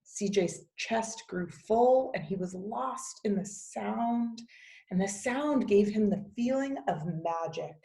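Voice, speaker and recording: A woman reading a children's picture book aloud as a teacher, with expressive storybook narration. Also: mid-range voice, slow pace, gravelly. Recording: echoey, roomy sound.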